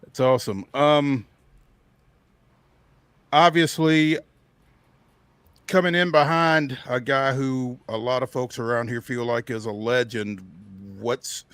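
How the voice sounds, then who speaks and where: A man's voice: medium pitch, steady tone, slow, pauses often, a bit bright, smooth, no echo. A man with an American accent hosting a sports talk show and interviewing a guest casually. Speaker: sports radio host.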